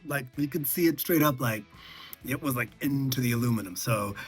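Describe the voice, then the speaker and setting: A man vlogging in a casual, conversational way, recounting lessons learned and often checking in with the listener, with a husky voice. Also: medium pitch, lively intonation, fairly quick. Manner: conversational, checks in with "okay" and "all right". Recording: music behind the voice.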